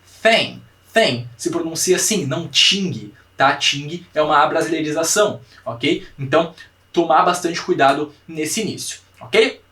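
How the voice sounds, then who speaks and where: A young Brazilian man teaching a language class online, repeating words slowly to drill pronunciation and checking in with his students often. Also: on the high side, sharp tone, far from the mic. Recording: echoey room, voice from off the mic.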